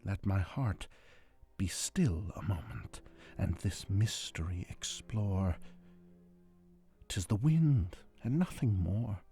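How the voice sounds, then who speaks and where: A man giving a theatrical dramatic recitation of a gothic poem, moving between hushed tones and loud outbursts, over a string quartet score. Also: low voice, animated, slow pace, vocal fry, faint music behind. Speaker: amateur reader of poetry. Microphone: close handheld portable recorder.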